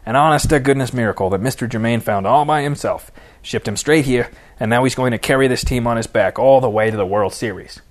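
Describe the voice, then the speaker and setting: A man's voice: fairly low pitch, a little fast, close to an everyday voice. A man voicing an angry, sarcastic and stubborn baseball team manager in an audiobook, mocking as he barks orders. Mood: sarcastic announcing. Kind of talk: audiobook character dialogue.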